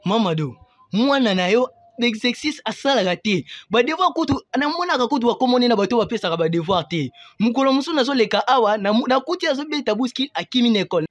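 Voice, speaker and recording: A man with a Congolese accent playing an exasperated primary school teacher in an animated comedy. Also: extremely high pitch, fast, dry recording.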